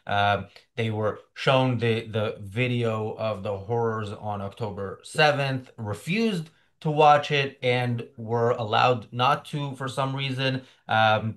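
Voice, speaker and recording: A middle-aged man hosting a political commentary chat over a video call, sardonic and halting, with many fillers. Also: mid-range voice, fairly bright tone. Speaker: show host.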